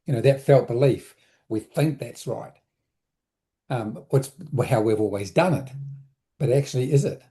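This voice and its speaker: A man with a notably dark, soft-edged voice at an ordinary male pitch. He explains things conversationally, like an advisor being interviewed. His delivery is reflective, circling back and rephrasing as he goes. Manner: reflective, halting, repeats words and rephrases as thinking aloud. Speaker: interview guest, business advisor.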